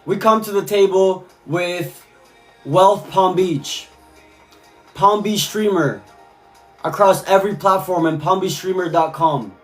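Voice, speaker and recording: A man's voice: high-pitched, takes it slowly, a bit bright, soft background music. A man from Cuba talking casually on a live stream, reading chat messages and answering viewers, often repeating his phrases. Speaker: livestreamer.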